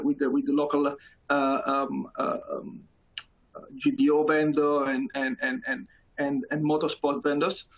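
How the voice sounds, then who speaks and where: A man's voice: on the high side, vocal fry, fairly bright tone, dull audio. A man in his thirties with a Neapolitan Italian accent, giving a conference talk over a video call with many fillers and restarts. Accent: Italian, from Naples. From Italy. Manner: halting, many fillers and repeated words. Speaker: conference speaker. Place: small room indoors. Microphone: video call webcam.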